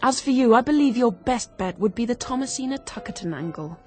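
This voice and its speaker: An actress playing a keen, quick-witted young woman turned amateur sleuth in a radio drama, brisk, confident and persuasive. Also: fairly high pitch, expressive, dark tone, soft background music, lightly acted. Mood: scheming, brisk.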